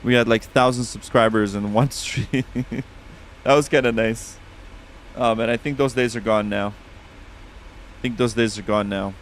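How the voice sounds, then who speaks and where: A man's voice: fairly low pitch, steady tone, normal speed, long pauses, a little hiss or hum. A man with a Russian accent streaming a flight sim, chatting casually with viewers and reading back radio calls on a headset.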